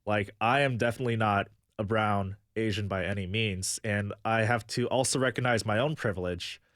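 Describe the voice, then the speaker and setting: A man's voice: on the low side, normal speed, a bit bright, no echo, studio quality. A young man from the United States, a guest on a radio talk show, answering earnestly and thinking aloud with many fillers. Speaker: guest, music booker.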